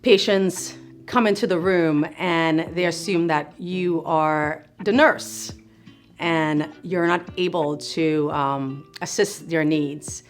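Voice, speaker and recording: Middle-aged physician assistant from Trinidad and Tobago, low-pitched, reflective and encouraging, over faint music. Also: female voice, fairly even intonation, slow pace. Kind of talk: speaking to camera about her work.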